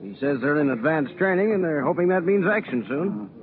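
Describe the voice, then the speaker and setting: A man's voice: fairly high pitch, animated, fairly quick, lightly acted. A man playing a proud, patriotic middle-aged postmaster and war veteran in a 1940s radio play, plain-spoken and folksy. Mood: neighborly. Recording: phone-like 1940s radio, crowd behind.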